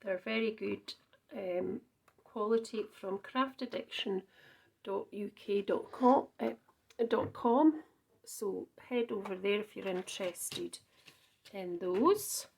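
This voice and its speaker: A woman's voice: medium pitch, takes it slowly, vocal fry, darkish tone, slightly rough. A Scottish woman chatting through a card-making demonstration, thinking aloud with frequent ums and small self-corrections. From Scotland. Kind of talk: casual crafting demonstration.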